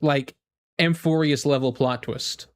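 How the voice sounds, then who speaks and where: A man giving casual, excitable, rambling reaction commentary on a video game. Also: medium pitch, a little slow. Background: music from the game trailer.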